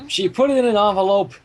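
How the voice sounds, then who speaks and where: A man's voice: high-pitched, normal speed. A man narrating fan fiction and bantering casually in a playfully theatrical way. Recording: noisy home mic with clicks.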